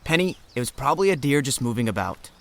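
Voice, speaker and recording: A man's voice: mid-range voice, big pitch swings, fast talker, gravelly. A man playing an earnest, devoted young student in an audio drama. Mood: sincere, easygoing. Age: young adult.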